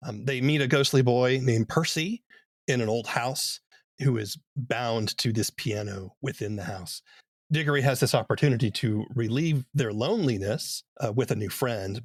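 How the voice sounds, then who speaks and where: Man, mid-pitched voice, podcast host, conversational reviewing, very dry sound. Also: no room sound.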